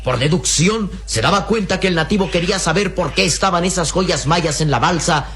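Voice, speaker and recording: A man narrating an adventure radio serial in a dramatic, measured way. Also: high-pitched, fairly bright tone, slight background noise.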